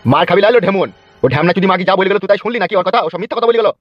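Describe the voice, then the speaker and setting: Casting: male actor as wheedling, coarse cartoon husband, very fast delivery, muffled audio with music. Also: sits high, lightly acted. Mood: scolding, defiant. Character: bickering, sweet-talking two-timing husband, foul-mouthed. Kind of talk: comic cartoon dialogue. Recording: muffled narrow-band audio over music.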